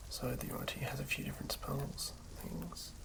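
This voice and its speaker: A host whispering in a breathy, hushed ASMR style, reading aloud and commenting casually in an unhurried way. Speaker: host reading and building a tabletop game character.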